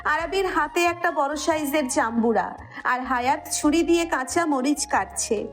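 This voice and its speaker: A woman's voice: sits high, normal speed, soft background music. A woman with a Bangladeshi accent narrating a scripted romantic audio story.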